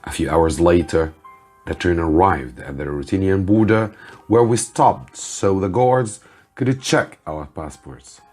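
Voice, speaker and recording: A man who teaches English reads a story aloud as narration for learners, with careful, deliberate delivery. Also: low voice, darkish tone, soft background music.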